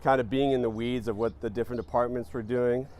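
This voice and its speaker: A startup founder answering interview questions in a relaxed, thoughtful way, with many ums and uhs. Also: male voice, mid-range voice, fast talker. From born in Panama, raised in New Jersey.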